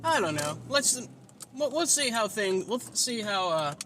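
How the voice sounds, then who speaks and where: Male podcast host, nasal and whiny, high bright voice, slow rambling delivery, faint hiss behind. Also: very animated. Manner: rambling, self-deprecating, swears freely. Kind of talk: casual solo podcast monologue.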